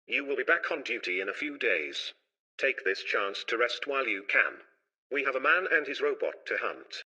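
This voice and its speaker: Sharp, forceful, sardonic boss played by a man. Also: mid-range voice, very animated, normal speed, husky, exaggerated voice. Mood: brisk, sardonic. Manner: mocking, theatrical. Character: sardonic, menacing male police boss. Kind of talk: scripted audio drama. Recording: dull, band-limited sound.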